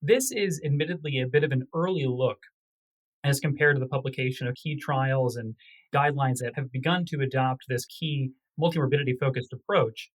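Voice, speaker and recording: A man's voice: medium pitch, a little fast, low-quality recording. Male research physician guest. Medium register, formal technical explanation, precise and careful phrasing.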